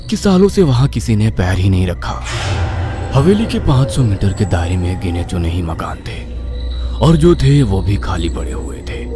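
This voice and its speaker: Male narrator for dramatic horror tale, lowish pitch, lively intonation, over prominent music. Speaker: story narrator.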